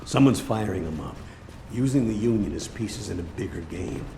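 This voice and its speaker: An actor playing a weary, principled old American cop and father in a game cutscene, measured and plain-spoken. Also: lowish voice, lively intonation, gravelly, background noise. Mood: grave. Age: older. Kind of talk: video game cutscene acting. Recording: music under the dialogue.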